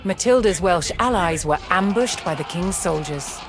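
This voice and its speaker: A woman delivering scripted, documentary-style campaign narration for a game in a measured, formal storytelling manner. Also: lowish voice, expressive.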